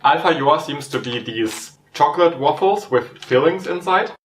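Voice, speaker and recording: A man's voice: a little high, normal speed, recorded from a distance, a little hiss or hum. A young adult man with a German accent, chatting casually while tasting snacks and giving frank ratings. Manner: relaxed, reflective, gives frank ratings. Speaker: host. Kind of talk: casual food tasting chat. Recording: echoey room, voice a bit off-mic.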